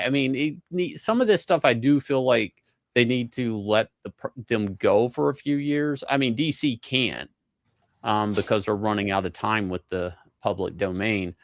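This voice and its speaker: Low, gravelly man debating casually. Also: fairly bright tone, dry recording, studio quality. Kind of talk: casual pop culture discussion.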